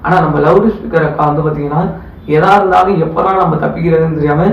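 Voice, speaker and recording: Fast, gravelly man in an echoey room. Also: a little high, steady tone. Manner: colloquial, conversational, critical. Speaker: commentary video host. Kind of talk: casual reaction commentary. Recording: distant, echoey, dull with faint noise.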